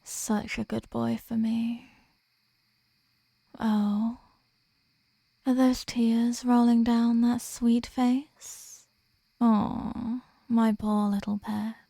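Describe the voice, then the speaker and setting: A woman voicing a sadistic, dominant vampire in an ASMR roleplay, teasing and commanding, often dropping into breathy half-whispers. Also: a little high, little pitch movement, extremely slow, pauses often, mellow, no room sound, exaggerated voice. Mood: mock-tender, soothing. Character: sadistic, dominant female vampire, seductive and possessive.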